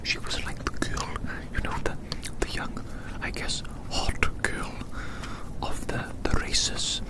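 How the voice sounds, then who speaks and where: A person in their thirties whispering gently and unhurriedly in an ASMR style, rambling and repeating phrases over and over. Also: takes it slowly, rough recording. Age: 30s. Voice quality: soft unvoiced whisper. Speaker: ASMR host. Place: outdoors.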